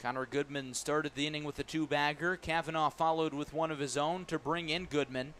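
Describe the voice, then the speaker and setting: A man calling a baseball game live on radio as the play-by-play announcer, describing each pitch in detail. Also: a little fast. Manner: descriptive, excited calls rising on big plays. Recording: clear background noise at ballpark. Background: ballpark ambience.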